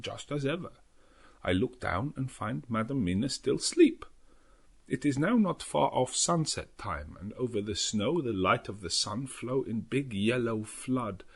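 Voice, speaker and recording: Earnest old professor, swooping intonation. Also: male voice, medium pitch, vocal fry, husky, full character voice. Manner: halting, non-native English phrasing, deliberate and dramatic. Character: elderly foreign professor, earnest, grave, devout vampire hunter. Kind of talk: dramatic audiobook narration of a journal.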